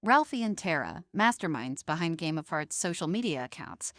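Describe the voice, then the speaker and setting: A woman's voice: sits low, big pitch swings, moderate pace, no room sound, studio quality. A woman narrating a scripted drama story in a dramatic style.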